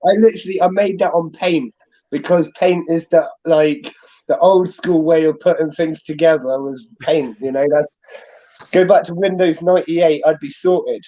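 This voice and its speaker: Male host, relaxed chatty manner, slightly high voice, dull lo-fi sound. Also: moderate pace. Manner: easygoing, chuckling, told he sounds like a farmer. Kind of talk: casual chat.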